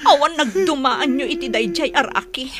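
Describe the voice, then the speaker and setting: A woman's voice: high-pitched, expressive, normal speed. An actress with an Ilocano accent playing a hurt, furious young woman betrayed by her friend in a radio drama, accusing and tearful. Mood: bitter, wounded. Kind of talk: Filipino radio drama acting.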